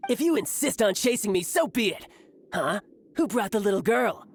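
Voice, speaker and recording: Woman voicing a smug, animated taunting bandit. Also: medium pitch, normal speed, background noise. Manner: sneering, condescending. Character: smug, taunting bandit thief, cocky and mocking. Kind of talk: video game voice acting.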